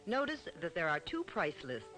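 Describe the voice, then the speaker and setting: A man's voice: a little high, wildly swooping pitch, moderate pace. A man narrates an old instructional film in a formal, didactic way, on a vintage film soundtrack. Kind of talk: mid-century instructional film narration, scripted. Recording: old 1950s-60s film soundtrack with music.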